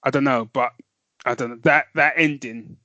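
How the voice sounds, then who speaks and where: A man's voice: mid-range voice, fairly even intonation, moderate pace, bright, forceful tone, dry recording, dull audio. A man co-hosting a podcast, chatting with rambling enthusiasm and a lot of "man". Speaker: podcast co-host. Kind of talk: casual chat.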